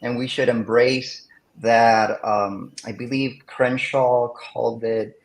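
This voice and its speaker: A man's voice: mid-range voice, steady tone, slow, poor audio. Man explaining, asking "right?". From Puerto Rico. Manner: explains with rhetorical questions and frequent tag "right?". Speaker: interviewed English teacher. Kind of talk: academic podcast interview.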